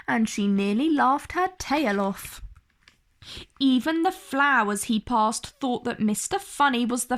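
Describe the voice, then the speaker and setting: A woman tells a children's story in a fairly high voice. Her pitch rises and falls a great deal. She takes it slowly, stressing words dramatically for young listeners. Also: fairly bright tone. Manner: addresses young listeners directly, dramatic emphasis and drawn-out words. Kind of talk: expressive picture-book read-aloud for kids.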